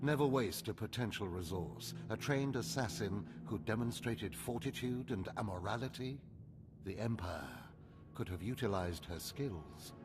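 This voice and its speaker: An actor playing a stern, haughty older Sith overseer in a video game, clipped, lecturing and condescending. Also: male voice, on the low side, lively intonation, unhurried. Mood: stern, critical. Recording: loud music behind.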